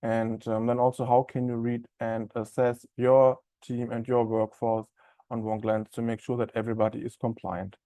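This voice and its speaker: German-accented man giving a software webinar. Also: mid-range voice, completely flat delivery, normal speed, no room sound. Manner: friendly, conversational, frequent ums and uhs. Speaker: software implementation specialist presenting a webinar. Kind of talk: live product webinar presentation.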